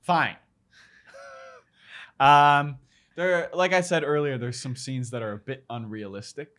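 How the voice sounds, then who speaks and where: A man's voice: medium pitch, fairly even intonation, a little slow, a bit bright. A young man chatting casually as a movie review panelist, joking and blunt. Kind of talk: casual chat.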